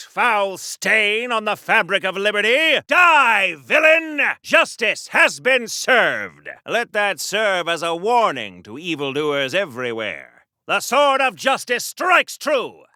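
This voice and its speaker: Golden-age game superhero, very bright forceful voice, high-pitched, declamatory, cocky, patriotic, corny wisecracks. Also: male voice, no echo, studio quality, full character voice. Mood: bombastic triumph. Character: bombastic 1940s patriotic superhero man, melodramatic and cocky. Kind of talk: old-time radio serial heroics for a video game.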